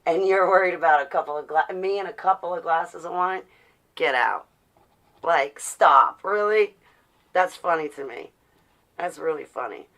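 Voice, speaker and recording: Blunt American woman, slightly low voice. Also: moderate pace, a bit bright. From New Jersey, United States. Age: 40s. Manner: blunt, defiant, with rhetorical questions. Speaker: vlogger. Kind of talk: casual rambling personal talk.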